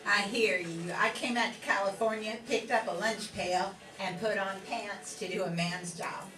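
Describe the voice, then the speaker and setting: A woman's voice: mid-range voice, steady tone, normal speed, close to an everyday voice. A woman playing a hearty, sociable wartime factory worker in a 1940s-set radio play, with a folksy, jokey manner. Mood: matter-of-fact. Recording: echoey room, distant mic, music behind.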